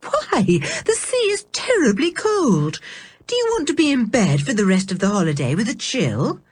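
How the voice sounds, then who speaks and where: A woman's voice: fairly high pitch, big pitch swings, moderate pace, a little mellow, slightly rough, voice pushed up. A woman voicing a worried middle-aged aunt who scolds the children about the cold sea. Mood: scolding.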